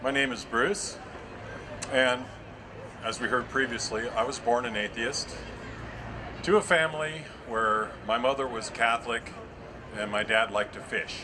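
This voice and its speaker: A middle-aged man from Massachusetts giving a casual, chatty speech outdoors in a naturally loud voice. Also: medium pitch, measured pace, long pauses, noisy background. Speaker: festival speaker. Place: outdoors by a street.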